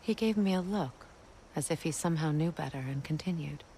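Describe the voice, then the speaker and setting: A woman playing a proud, wistful Norse goddess and weary former queen in a video game, speaking in a measured, solemn way. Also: sits low, dark tone, a little hiss or hum. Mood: wry, measured. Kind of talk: dramatic video game acting.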